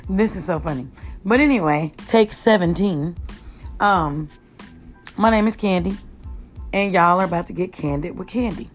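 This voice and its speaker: Raspy-voiced woman hosting a chatty podcast. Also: fairly low pitch, normal speed, long pauses, faint music behind. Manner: slangy, chatty, addresses listeners as y'all. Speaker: podcast host. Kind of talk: casual rambling podcast monologue. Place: at home.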